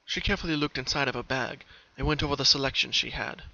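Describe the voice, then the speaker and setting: A man's voice: mid-range voice, fast, creaky, sharp tone. A man reading a story aloud as a dramatic, scripted narration, on a slightly lo-fi home recording.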